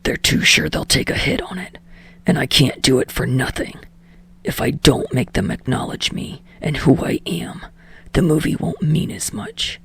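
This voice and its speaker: Brief: woman doing a husky, gritted-teeth young tough guy, low and grumbling. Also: heavily acted. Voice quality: low, hushed and gravelly. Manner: growls and curses through clenched teeth. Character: gruff, brooding young male actor, sweary. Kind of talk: podfic reading.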